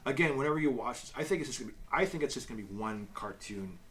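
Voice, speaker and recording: A man's voice: mid-range voice, animated, fairly bright tone. A man co-hosting a homemade review podcast, feeling his way through each sentence over audible background noise. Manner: halting, self-correcting, repeats words. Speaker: host of a review show. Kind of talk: casual review chat.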